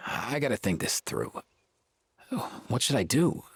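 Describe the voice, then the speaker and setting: A man playing a troubled, laid-back vet in a video game, with a husky voice. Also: medium pitch, sing-song, unhurried, no room sound, exaggerated voice. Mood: shaken, pensive. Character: troubled male vet, laid-back, shaken by his ancestry.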